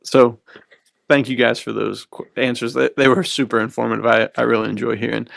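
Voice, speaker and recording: A man's voice: medium pitch, flat delivery, fairly quick, vocal fry, no echo, clean studio sound. A man hosting a podcast in casual chat, effusive and slangy with his guests. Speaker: podcast host.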